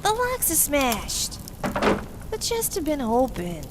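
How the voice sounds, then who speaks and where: A woman's voice: high voice, wildly swooping pitch, slow, heavily acted. A woman playing a desperate, defiant female criminal in a melodramatic old-time radio play. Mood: dismayed. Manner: melodramatic, emphatic. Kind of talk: Golden Age radio drama acting. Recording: noisy radio drama recording.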